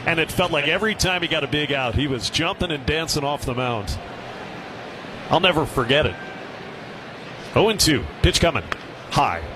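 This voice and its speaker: A man's voice: a little high, normal speed, long pauses. A man with an American accent calling a live baseball game on the radio as play-by-play announcer. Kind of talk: live radio sports commentary. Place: stadium broadcast booth. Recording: noisy ballpark ambience behind. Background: steady ballpark noise.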